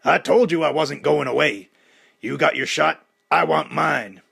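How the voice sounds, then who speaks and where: A man voicing a loud, menacing young male boxer who taunts and boasts about himself. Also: a little high, unhurried, creaky, husky, voice pushed up, exaggerated voice.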